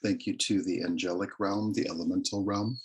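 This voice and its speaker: A man leading a guided meditation with prayer and affirmations, speaking in a soothing, deliberate, reverent way. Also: low-pitched, fairly even intonation, a little hiss or hum. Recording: live recording.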